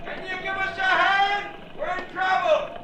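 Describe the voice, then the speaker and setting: A man playing a slick gunman posing as a fisherman in old radio drama, brisk and forceful, on an old recording. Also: very high-pitched, flat delivery, a crowd in the background. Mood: urgent. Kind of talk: 1940s-50s radio drama acting.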